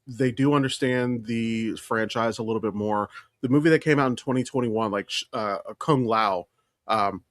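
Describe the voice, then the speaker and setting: A man's voice: medium pitch, fairly bright tone, dry recording, studio quality. A man co-hosting a podcast in casual pop-culture chat, emphatic and opinionated, swearing freely. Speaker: podcast co-host.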